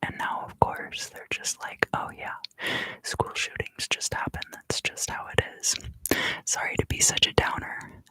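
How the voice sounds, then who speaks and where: A woman in her thirties gives an ASMR tarot reading in hushed, unhurried, deliberate phrases, close to the microphone. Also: low-quality recording. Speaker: tarot reader and ASMR host. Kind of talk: ASMR tarot reading, whispered and softly spoken.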